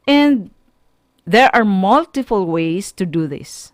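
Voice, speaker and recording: Slow, expressive Filipino woman teaching on radio. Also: mid-range voice, no echo, clean studio sound. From Philippines. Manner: emphatic, deliberate, with pauses between short phrases. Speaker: teacher and presenter of a school radio program. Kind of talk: educational radio lecture, addressing students directly.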